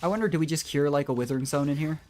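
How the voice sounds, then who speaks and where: A game streamer with a mid-range voice gives casual commentary during play. The talk is musing and thought out loud, over faint music. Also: medium pitch, rapid-fire. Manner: musing, thinking aloud. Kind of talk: casual commentary while playing.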